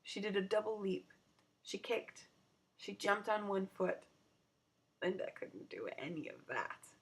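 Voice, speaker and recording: A woman narrating a children's story aloud in an expressive storytelling style. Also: medium pitch, measured pace, creaky.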